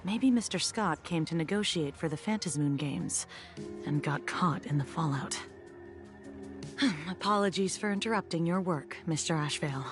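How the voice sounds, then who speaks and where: An actress playing a cool, composed security officer in a video game, speaking with dry, faintly wry, businesslike authority. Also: female voice, low voice, moderate pace, music in the background, natural voice.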